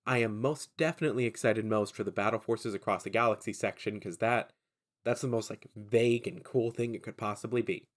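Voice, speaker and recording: Male host, stuffy gravelly voice, lowish, chatty opinionated rambler. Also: fairly quick. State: stuffy from a cold. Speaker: channel host. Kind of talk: casual hobby commentary.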